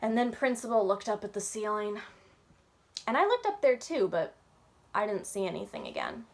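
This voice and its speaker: A woman's voice: mid-range voice, huge pitch swings, normal speed, creaky. A woman reading a children's book aloud expressively, as a library storyteller, with dramatic, childlike first-person narration.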